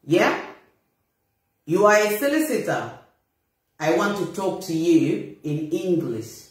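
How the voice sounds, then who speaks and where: A woman's voice: fairly low pitch, expressive, slow pace. A middle-aged woman with a Bissau-Guinean accent, delivering a blunt, indignant and emphatic confrontational monologue to a phone camera in a small room. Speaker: vlogger. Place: small bare room indoors. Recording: very echoey room.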